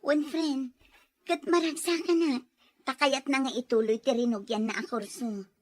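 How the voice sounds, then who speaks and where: Woman acting young working gal in an audio drama, highish voice, sincere n stubborn, emotional delivery. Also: fairly even intonation, moderate pace, darkish tone. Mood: earnest, caring.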